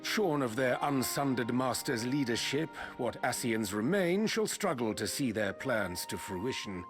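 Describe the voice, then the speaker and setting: A solemn male sage from a fantasy game played by a man, mid-pitched with a very mobile intonation. His speech is archaic, cautious and deliberate, set over clearly heard music. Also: animated, close to an everyday voice. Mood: grave, reassuring. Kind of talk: scripted fantasy game dialogue.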